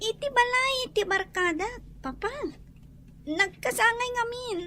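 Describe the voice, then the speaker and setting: A woman acts out a tipsy, defiant young daughter in a radio-style drama. Her voice is extremely high and sharply bright, with a Filipino accent and a carefree manner. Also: unhurried, slight background noise, heavily acted. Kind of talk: Ilocano audio drama acting.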